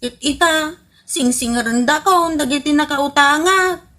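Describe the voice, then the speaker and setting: Lady doing a pleading wife who owes everyone, high sharp voice. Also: measured pace. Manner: whiny, pleading. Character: wife deep in debt, pleading with husband. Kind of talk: comedy radio drama acting.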